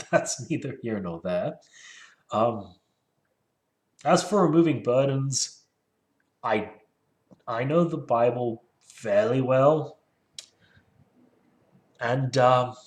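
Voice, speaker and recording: A man's voice: mid-range voice, darkish tone. A man reading a book aloud and critiquing it casually, with sardonic, rambling asides. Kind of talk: casual solo commentary with read-aloud passages.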